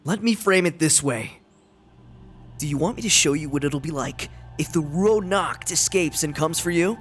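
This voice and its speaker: A man's voice: on the high side, moderate pace, a bit bright, soft background music, lightly acted. A man playing an anxious, exasperated teenage schoolboy in a fan-dubbed drama, nervy and hesitant. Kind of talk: animated fandub drama acting.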